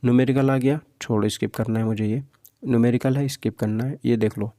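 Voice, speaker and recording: A male teacher walks students through practice questions. His voice is relaxed and mellow at an average pitch, recorded very dry. He teaches informally, often asking a question and then answering it himself. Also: brisk, no echo. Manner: informal, Hindi mixed with English terms. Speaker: physics teacher. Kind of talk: exam practice lesson, question and answer walkthrough.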